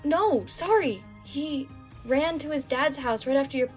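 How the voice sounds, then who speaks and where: A woman playing a plucky, excitable, flippant teenage girl in an audio drama. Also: high voice, a little slow, soft background music. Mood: hesitant, surprised.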